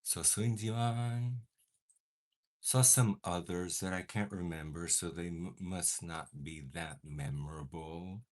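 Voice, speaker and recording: A man's voice: deep voice, slow pace, a little mellow. A young man whose first language is Portuguese chats casually while doing his makeup, with dramatic bursts of mock outrage. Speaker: beauty vlogger.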